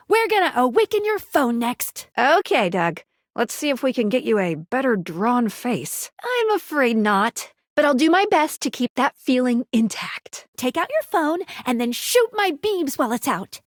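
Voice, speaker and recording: A woman's voice: high-pitched, no echo, exaggerated voice. Playful female game helper, peppy, exclamatory, swooping intonation, close dry studio sound. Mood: excited, playful, then chatty, wry. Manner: perky, theatrical, exclamatory delivery. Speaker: voice actor in a dating sim game. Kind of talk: animated video game character voice lines.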